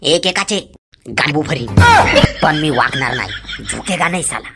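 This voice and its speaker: A man's voice: fairly high pitch, lively intonation, measured pace. A man voicing a swaggering, vengeful village tough guy in a comic cartoon, boastful and drawling out his catchphrases. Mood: defiant, menacing. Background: loud background music.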